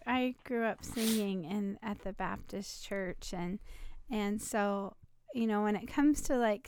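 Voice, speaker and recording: A middle-aged woman co-hosting a relaxed home podcast. Her voice carries a slight rasp but stays smooth and clear, and the sound is dry and close. She talks in a chatty, rambling way and laughs a lot. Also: mid-range voice, dry recording. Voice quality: slightly raspy. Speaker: podcast co-host. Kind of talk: casual chat.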